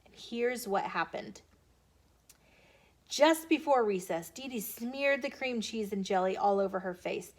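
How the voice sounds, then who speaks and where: A woman hosting storytime, reading aloud to children in an expressive, deliberate storytelling way. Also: mid-range voice. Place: filmed in a bookstore.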